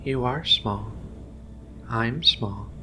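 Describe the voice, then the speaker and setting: A man speaking softly and reassuringly in an ASMR roleplay as a gentle, philosophical alien researcher. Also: medium pitch, a little hiss or hum. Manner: soothing, unhurried, reassuring. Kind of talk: soft-spoken ASMR roleplay.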